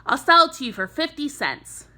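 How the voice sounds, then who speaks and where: Sly boastful little girl done by a lady storyteller, confident sales pitch, bright and swoopy. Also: sing-song, normal speed, full character voice. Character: sly, boastful young schoolgirl.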